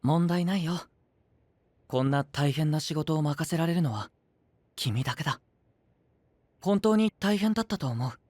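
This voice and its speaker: A male voice actor playing a gentle, composed young knight leader with grand ambitions, soft, polite and persuasive, in an anime-style voice drama. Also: on the high side, a little slow, pauses often. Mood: gentle gratitude.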